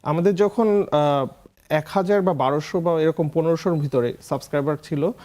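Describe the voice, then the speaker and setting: A man's voice: on the high side, moderate pace, darkish tone. A man with a Bangladeshi accent talking casually as an interview guest, often switching into English phrases. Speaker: interview guest, food vlogger.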